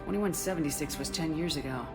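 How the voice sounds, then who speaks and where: A commanding female military officer in a sci-fi video game. Her voice is low, her manner firm, brisk and determined, and music runs clearly underneath. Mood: firm, questioning.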